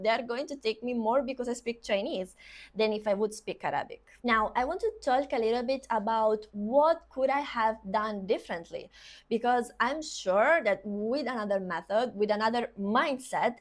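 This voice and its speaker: A young woman who is a native Italian speaker, talking casually to camera about learning languages, chatty, saying "of course" and "like" often. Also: fairly high pitch. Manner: quick, chatty, repeats "of course" and "like".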